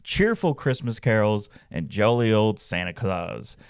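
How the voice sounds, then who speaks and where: A man hosting a podcast, reading scripted narration and stories in a measured, dramatic way. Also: on the low side, expressive, gravelly, clear, resonant tone, studio quality. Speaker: podcast host and storyteller. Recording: roomy echo, faint music behind. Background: faint background music.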